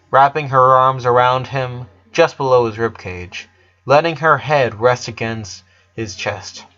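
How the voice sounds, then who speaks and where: A man narrates a piece of fiction from a script. His pitch sits in the middle range for a man. The recording is a little muffled and lo-fi. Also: fairly bright tone. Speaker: story narrator.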